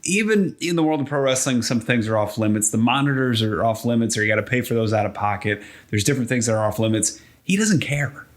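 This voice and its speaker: A man's voice: mid-range voice, expressive, fast talker, few pauses. A man co-hosting a casual wrestling podcast, talking enthusiastically like an announcer. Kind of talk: casual podcast chat about pro wrestling.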